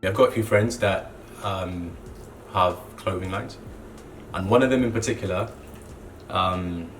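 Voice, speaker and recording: A young man who grew up in Zambia, a clothing brand co-founder, talking casually and relaxed, with lots of "you know" fillers. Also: deep voice, a little slow, soft background music. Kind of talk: casual interview monologue. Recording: distant mic with clear background noise.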